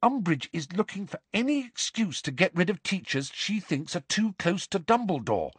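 Earnest schoolgirl, male audiobook reader: high pitch, anxious, articulate, pleading, determined. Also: sing-song, unhurried, a bit bright, no echo, full character voice. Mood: urgent pleading.

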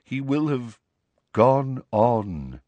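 A man voicing a mournful, refined ghost of a gentleman, speaking softly, slowly and formally with hesitant sighs. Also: on the low side, expressive, dry recording, muffled recording. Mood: melancholy. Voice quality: soft, quiet. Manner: slow, hesitant, sighing, formal.

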